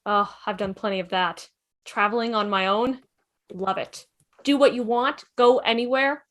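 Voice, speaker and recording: A woman's voice: mid-range voice, moderate pace, a bit bright, pitched below the performer's own voice, lightly acted. A woman playing a breezy, curious space traveller with an upbeat, chatty manner in a sci-fi table read.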